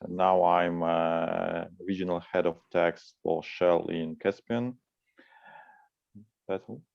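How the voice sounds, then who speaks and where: Terse gravelly man. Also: sits low, steady tone, slow, no echo. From Russia. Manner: terse, matter-of-fact. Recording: dry, dull lo-fi call audio.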